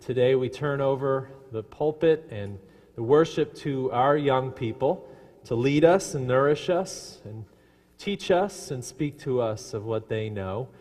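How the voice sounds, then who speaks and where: Slow-paced male minister in a hall. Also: medium pitch, darkish tone. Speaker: minister welcoming the congregation. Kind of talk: unhurried church welcome to a congregation. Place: large indoor church sanctuary. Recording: echoey church hall with congregation.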